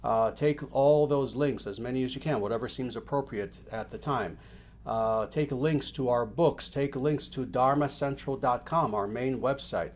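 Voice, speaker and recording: A man, a spiritual teacher, giving unhurried livestream announcements to his followers in a gentle, rambling way full of fillers and restarts. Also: mid-range voice.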